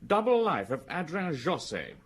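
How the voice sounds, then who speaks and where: On a slightly noisy recording, a bossy British magistrate in a radio play. His voice is somewhat high and swoops about, brusque, officious and short of patience. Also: sing-song, normal speed. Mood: brusque, accusing. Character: impatient, literal-minded male examining magistrate, a bossy superior. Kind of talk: radio drama acting.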